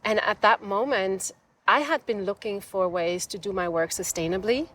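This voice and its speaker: A woman from Switzerland, a design professor, telling her story with enthusiasm in a relaxed outdoor interview. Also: mid-range voice, moderate pace. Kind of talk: conversational interview. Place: outdoors in a desert botanical garden.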